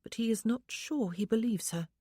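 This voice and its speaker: A woman voicing a gentle, earnest young woman who speaks in a light, hesitant way. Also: on the high side, normal speed, slightly rough, no echo, clean studio sound, voice pushed up. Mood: troubled.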